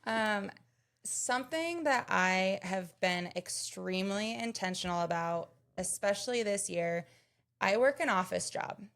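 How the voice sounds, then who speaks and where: Gravelly-voiced woman in her thirties. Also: medium pitch, expressive, a little slow, a bit bright. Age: 30s. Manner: earnest, explains with examples. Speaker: podcast co-host. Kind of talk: casual chat.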